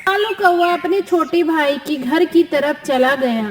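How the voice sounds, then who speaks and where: A woman's voice: high voice, measured pace, a little hiss or hum. A woman narrating a scripted story for children.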